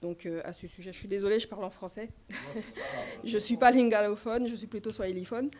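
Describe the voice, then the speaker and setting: A woman journalist from Congo politely asking a question at a press conference, pausing often with hesitant fillers as she speaks into a standing microphone. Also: mid-range voice, steady tone, crowd noise.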